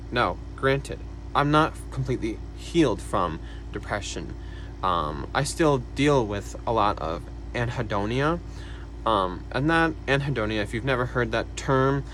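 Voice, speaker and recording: A young man talking casually to camera about his own life, soft-spoken and hesitant, with many fillers and quiet sighs. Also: medium pitch, a little hiss or hum, rough recording. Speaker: vlogger. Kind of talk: casual personal talk to camera.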